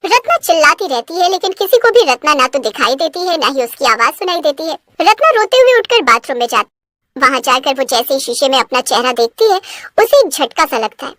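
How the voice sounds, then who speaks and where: A woman narrator with a high, lively voice tells a scripted cartoon tale. Her pitch moves freely, and the recording is dry with no room sound. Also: fairly quick, no echo. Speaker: story narrator.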